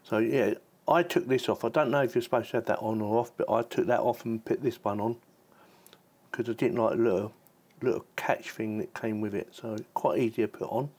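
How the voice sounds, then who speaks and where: A man's voice: lowish voice, fairly even intonation, fairly quick, a little mellow. A man hosting a casual product review, chatting informally and thinking aloud as he demonstrates the gear. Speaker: tech reviewer and channel host.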